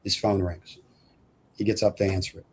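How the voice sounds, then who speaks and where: A man's voice: low-pitched, steady tone, normal speed, a little hiss or hum. A man narrating stage directions at a film script table read in a plain, matter-of-fact way.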